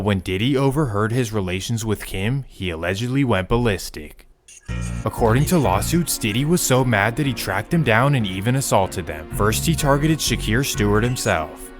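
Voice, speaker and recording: Low-voiced male documentary narrator. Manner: measured, dramatic storytelling. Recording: narration over loud music.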